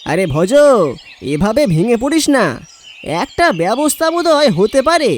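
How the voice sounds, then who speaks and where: A woman voicing a kind male vegetable-seller friend in an animated storybook, chatty and consoling. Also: on the high side, normal speed, slight background noise. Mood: reassuring. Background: background music.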